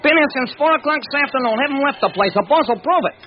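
Tough small-time crook tending bar, slangy, defensive, high pitch, dull old radio recording. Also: male voice, expressive, quick pace, slight background noise. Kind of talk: old-time radio crime drama acting.

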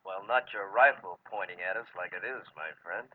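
An actor playing a grave, commanding outlaw in a 1940s radio drama, speaking in a measured, clipped way. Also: male voice, low-pitched, natural voice. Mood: quiet, guarded. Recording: scratchy, noisy, tinny old radio recording.

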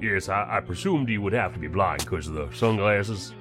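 An actor playing a polite, hesitant bystander who speaks in a courteous, slightly formal way. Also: male voice, low voice, normal speed, music in the background, close to an everyday voice. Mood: helpful, hesitant. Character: polite, hesitant male bystander volunteering information.

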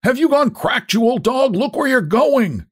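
A high, swooping voice shouts as an angry storybook coachman played by a man. He curses indignantly at whoever is in his way. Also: sing-song, moderate pace, husky, heavily acted. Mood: furious. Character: angry male street shouters, cursing coachmen and passers.

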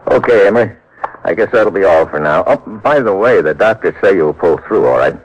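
A tough, wry American agent from an old radio detective serial, with a low voice. He delivers his lines in terse, hard-boiled fashion. The vintage recording sounds dull and boxy. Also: expressive, fairly quick, dry recording. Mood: terse, businesslike. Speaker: lead actor and narrator. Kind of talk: old-time radio detective drama acting.

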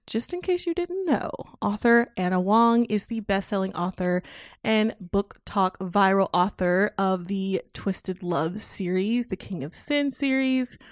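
A woman's voice: medium pitch, no room sound, studio quality. A woman reading podcast sponsor spots from a script, loosened with casual, sassy, conversational asides. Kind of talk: scripted ad read with casual ad-libs.

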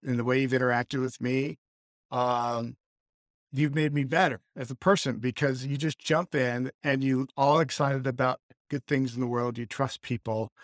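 A man's voice: mid-range voice, no room sound, clean studio sound. A man hosting a podcast, introducing his guest in a rambling, earnest way full of ums and restarts. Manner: rambling, earnest, hesitant with many fillers. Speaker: podcast host. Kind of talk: casual interview.

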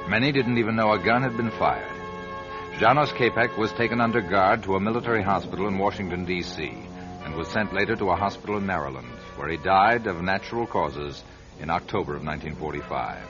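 A man with a very low voice narrates a radio drama in a grave, deliberate way. The recording is dull and lo-fi. Music plays clearly behind him. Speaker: narrator and writer-director.

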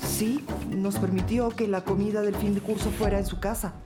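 An actress playing an imposing but courteous female school principal with a deep voice in an audio drama, music behind her. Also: steady tone, normal speed, natural voice. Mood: courteous, regretful. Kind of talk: scripted audio drama dialogue.

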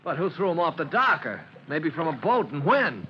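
Eager young rookie, noisy old recording. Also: male voice, a little high, big pitch swings, normal speed, dull audio. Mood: excited, curious. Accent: American. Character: eager, inquisitive young rookie fireman, male. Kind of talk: 1940s-50s radio drama acting.

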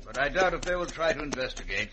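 Man playing a suave, lilting gentleman villain. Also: mid-range voice, lively intonation, quick pace, close to an everyday voice. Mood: smug, amused. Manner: pompous, theatrical, condescending. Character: suave, philosophizing gentleman villain, amused and cold-blooded. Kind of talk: old-time radio western acting. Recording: noisy vintage radio broadcast recording.

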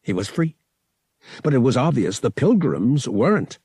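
A man narrating fiction as an audiobook reader, with an expressive, theatrical storytelling manner. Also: mid-range voice, moderate pace, slightly rough, dry recording, studio quality. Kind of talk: dramatic scripted fiction narration.

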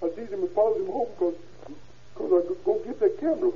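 Man acting frantic, high-pitched, pleading murder suspect. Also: quick pace, natural voice. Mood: nervous confessing. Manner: stammering, folksy ungrammatical speech. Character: frightened, pleading male murder suspect breaking under questioning. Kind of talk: old-time radio crime drama acting. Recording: tinny, narrow-band vintage radio recording.

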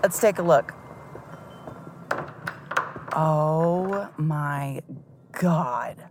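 Shaken grown kid in an audio drama, kinda low voice, nervous and halting, takes it slow. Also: female voice, expressive, noisy background, heavily acted. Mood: wry, uneasy. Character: shaken grown child of a dead rich father, exploring a childhood home. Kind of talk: audio drama monologue, naturalistic.

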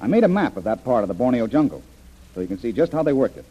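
An actor playing a confident, decisive adventure hero in an old-time radio drama, earnest and brisk. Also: male voice, medium pitch, very animated, mellow, breathy edge. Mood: confident, explanatory. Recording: dull, band-limited vintage radio recording.

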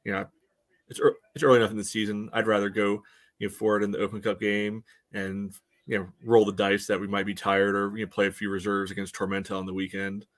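A man co-hosting a podcast, giving casual soccer analysis in a halting way padded with filler phrases. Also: lowish voice, little pitch movement. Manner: halting, peppers speech with "you know". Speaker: podcast co-host.

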